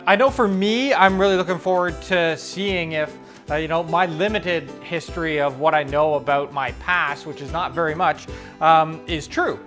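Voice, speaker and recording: A middle-aged man from Canada, co-hosting a travel vlog in relaxed casual chat, thinking aloud. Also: on the high side, normal speed, soft background music. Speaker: travel vlogger co-host.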